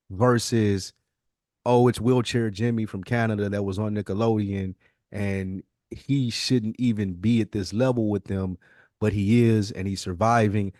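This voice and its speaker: A man's voice: lowish voice, a little mellow, dry recording, clean studio sound. A man co-hosting a podcast, talking casually and giving his opinions in a hip-hop debate. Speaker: podcast co-host.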